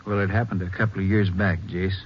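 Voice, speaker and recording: An actor playing a folksy, easygoing small-town sheriff in a 1950s radio western. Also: male voice, low voice, flat delivery, breathy edge. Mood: explaining. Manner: folksy, drawn-out explaining. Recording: muffled, noisy 1950s radio recording.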